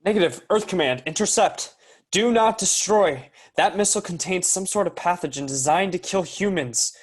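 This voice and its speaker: A man's voice: high voice, animated, moderate pace. A man playing a brave young fighter pilot with an earnest, urgent, commanding delivery.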